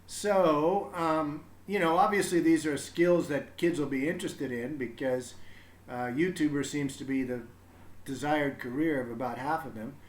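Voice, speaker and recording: Echoey, higher-voiced man rambling on a livestream. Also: normal speed. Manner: rambling, thinking aloud. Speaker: live streamer reading to children. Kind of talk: casual explanatory livestream talk. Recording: roomy, echoing room sound.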